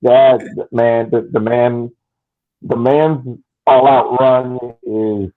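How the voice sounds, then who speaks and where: A man's voice: medium pitch, fairly even intonation, extremely slow, a crowd in the background. A man co-hosting a soccer fan podcast, blunt and emphatic, hammering his point home. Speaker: podcast co-host. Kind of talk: casual opinionated chat. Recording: tinny phone-like line.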